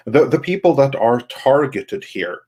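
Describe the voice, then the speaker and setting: A man giving casual panel commentary in a halting, long-winded explanatory way. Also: mid-range voice, big pitch swings, darkish tone, husky.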